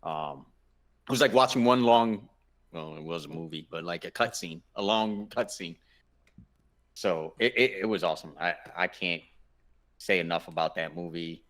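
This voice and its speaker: A man's voice: low-pitched, normal speed, a bit bright. A man co-hosting a casual chat, giving his opinions emphatically and repeating himself for stress. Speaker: podcast co-host.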